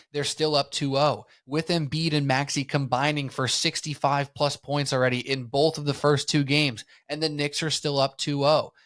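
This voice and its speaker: Excited male sports podcast host. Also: medium pitch, dry recording, clean studio sound. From United States.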